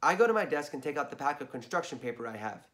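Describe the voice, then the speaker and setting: A hoarse man reading a children's story aloud, chatting in asides to his listeners. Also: on the high side, sing-song, fast, a bit bright.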